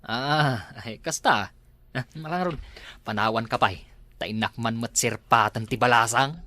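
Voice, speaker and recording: A man's voice: mid-range voice, unhurried, sharp tone, no echo. A man with an Ilocano accent, playing a nosy neighbor in a comedy drama, chuckling as he talks.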